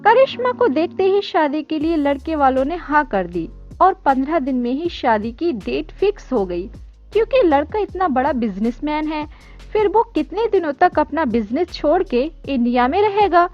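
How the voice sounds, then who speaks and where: A woman's voice: high voice, very animated, moderate pace. A woman reading a scripted story as narrator. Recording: dull lo-fi audio with music. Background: background music.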